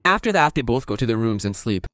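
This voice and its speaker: A man's voice: mid-range voice, sing-song, fast talker, a bit bright, no echo, studio quality. A man reading scripted narration for a family story as its narrator.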